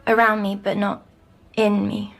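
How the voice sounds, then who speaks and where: An actress playing a timid young girl troubled by nightmares in an audio drama, speaking hesitantly and softly. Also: medium pitch, level tone, vocal fry. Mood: haunted, wondering. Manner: hesitant, halting, softly descriptive. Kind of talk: scripted audio drama acting.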